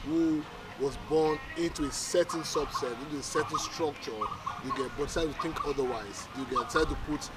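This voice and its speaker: A man's voice: a little high, a little fast. A man explaining in an interview, searching for words and seeking agreement. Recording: distant mic under loud music.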